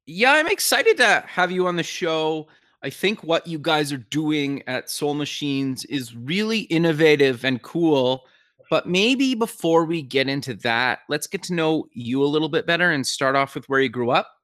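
A man's voice: a little high, lively intonation, normal speed, fairly bright tone. A man hosting an interview show, introducing and questioning in a conversational style.

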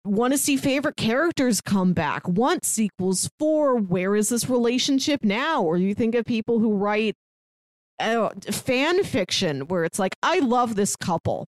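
A woman's voice: on the high side, animated, measured pace, no echo. Chatty woman on a dry podcast call. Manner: chatty, laughs while talking. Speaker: podcast co-host. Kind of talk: casual film discussion podcast. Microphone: remote call.

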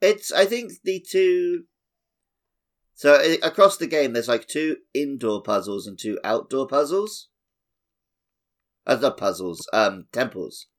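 A man's voice: mid-range voice, lively intonation, takes it slowly, long pauses. A man co-hosting a podcast, chatting casually about a video game. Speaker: podcast co-host.

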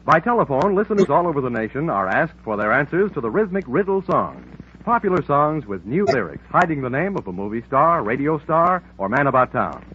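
A man's voice: fairly high pitch, big pitch swings, fairly quick. A male network announcer delivering a 1950s radio promo with polished, showman-like salesmanship. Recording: muffled vintage radio recording, faint noise.

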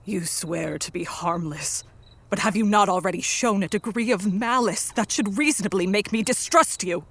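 A woman in a radio play acting an earnest, troubled young male scientist, with formal, measured delivery. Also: mid-range voice, lightly acted. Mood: defiant, distrustful. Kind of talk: radio play acting and first-person narration.

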